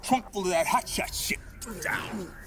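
An actor playing a blunt, offhand, mocking patrol cop in a video game. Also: male voice, sits high, unhurried.

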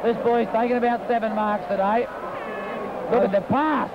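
A man's voice: very high voice, fairly even intonation, moderate pace, crowd noise. Chatty man giving expert football comments. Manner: chatty, opinionated remarks. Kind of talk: analytic match commentary. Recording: lo-fi 1960s broadcast with heavy noise.

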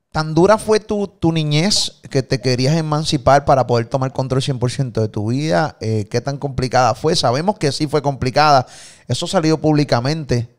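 Male host, smooth and resonant, probing questions, halting with fillers. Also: mid-range voice. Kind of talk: interview questions. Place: studio, indoors. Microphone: studio mic.

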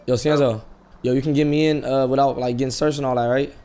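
A man's voice: mid-range voice, steady tone, fast talker, over music. A young man in casual roleplay chat, speaking street slang and often repeating his phrases. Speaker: streamer playing a GTA roleplay character.

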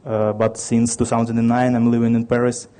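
Halting man with a Russian accent. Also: mid-range voice, monotone, dark tone. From Ukraine. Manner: halting, many restarts and fillers. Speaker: conference speaker. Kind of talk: technical conference presentation.

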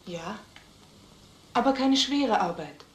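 A woman's voice: on the high side, animated, slow. An actress playing a guarded single mother from a village, hesitant and wary, in a crime drama. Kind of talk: mid-century German crime film dialogue. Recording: noisy, echoing old film soundtrack.

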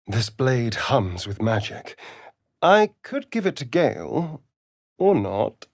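A man's voice: medium pitch, big pitch swings, slow pace, no echo, clean studio sound, heavily acted. A man with a British accent playing a vain, sardonic immortal vampire rogue in a fantasy video game, theatrical and arch. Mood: wary, musing. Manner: arch, drawling, flamboyant.

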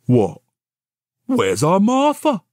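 A man's voice: high voice, wildly swooping pitch, takes it slowly, darkish tone, no room sound, clean studio sound, pitched above the performer's own voice, exaggerated voice. Man acting a tender, swooping Victorian father. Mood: surprised. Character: gentle, tender Victorian father, earnest and fond.

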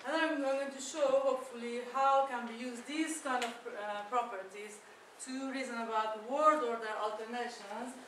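A woman's voice: a little high, steady tone, normal speed. A woman whose first language is Persian gives a chatty, self-deprecating conference talk with many fillers and restarts. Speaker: academic speaker. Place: lecture room. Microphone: far camera. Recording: echoey lecture room from far off, noisy.

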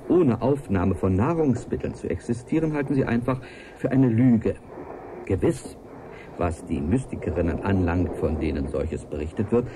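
An actor playing an earnest, driven biologist who dictates a formal, courteous and emphatic taped letter in a radio play. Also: male voice, mid-range voice, mellow, slight background noise, lightly acted, rough recording.

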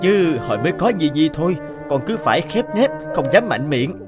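Lively young father. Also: on the high side, fairly quick, over music. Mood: proud. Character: earnest young father, easily swayed. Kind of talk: cartoon voice acting.

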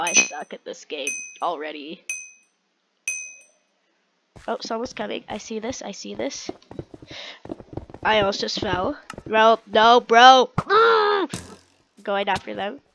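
A woman's voice: mid-range voice, background noise. A young woman with a stuffy, sick-sounding voice giving casual commentary while playing a game, cheering and groaning at what happens. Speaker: gaming video creator.

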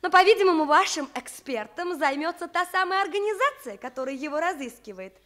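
An actress playing a polite but dismissive, sceptical newspaper employee with a measured official manner in a radio comedy play. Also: female voice, extremely high pitch, lively intonation, fairly bright tone. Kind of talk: stage comedy acting for radio.

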